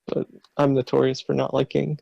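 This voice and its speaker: A man's voice: mid-range voice, completely flat delivery, fairly quick, creaky, dark tone, dry recording, dull audio. A man making dry jokes and laughing as a co-host in casual banter.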